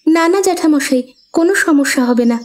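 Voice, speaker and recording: A woman's voice: sits high, animated, fairly quick. A woman playing a humble, sorrowful young orphaned village girl in a melodramatic cartoon, with a plaintive manner. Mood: polite. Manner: pleading, plaintive.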